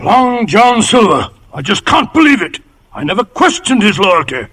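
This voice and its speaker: A man playing a flustered, anxious gentleman squire in an old radio drama. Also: high voice, big pitch swings, normal speed, husky, faint music behind, full character voice. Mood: agitated dismay.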